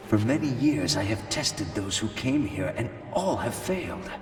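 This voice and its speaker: A man's voice: fairly low pitch, sing-song, normal speed. A man playing a solemn, weary guardian of an ancient temple in a video game, speaking in a grave, formal, imposing way. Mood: solemn relief. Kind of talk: scripted video game cutscene acting. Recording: echoey hall, distant, music behind.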